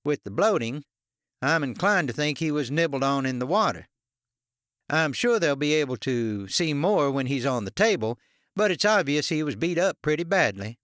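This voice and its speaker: A man voicing a savvy paramedic in his late fifties, brisk, matter-of-fact and wry, in an audiobook. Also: on the high side, big pitch swings, a bit bright, no echo, clean studio sound, voice pushed up. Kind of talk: audiobook character dialogue.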